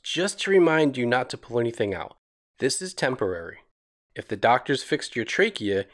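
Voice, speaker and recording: A man narrating a children's educational cartoon from a script, explaining things simply and reassuringly. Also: medium pitch.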